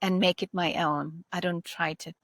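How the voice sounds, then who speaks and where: A lowish-voiced woman in her forties speaks with a Turkish-German accent. She talks casually and emphatically, often repeating a point. Her voice is close and dry, with no room sound. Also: normal speed, dry recording. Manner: animated, emphatic, repeats herself. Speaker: podcast host. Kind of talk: casual podcast conversation.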